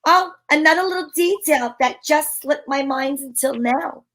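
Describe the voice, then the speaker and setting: A woman playing a sharp-witted, wisecracking private detective in an old-time radio drama, talking in breezy slang. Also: high voice, moderate pace. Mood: wry, explaining.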